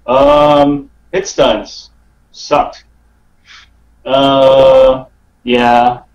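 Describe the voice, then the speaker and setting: A man's voice: medium pitch, huge pitch swings, extremely slow, vocal fry, darkish tone, highs cut off. A man chatting casually as a gaming podcast guest, putting his thoughts out hesitantly in short pieces. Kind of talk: casual chat.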